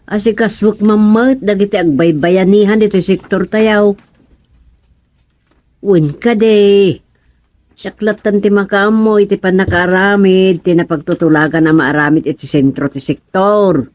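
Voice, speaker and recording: A woman's voice: medium pitch, fairly even intonation, unhurried, darkish tone, natural voice. A woman playing a busy, friendly village organizer in an Ilocano radio drama-comedy. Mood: brisk, practical.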